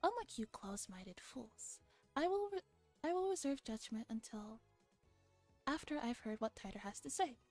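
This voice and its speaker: A woman's voice: a little high, expressive, moderate pace, darkish tone, soft background music. A woman voicing a pompous young male self-styled mad scientist in a visual novel, with grandiose, theatrical delivery. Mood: scornful, boastful.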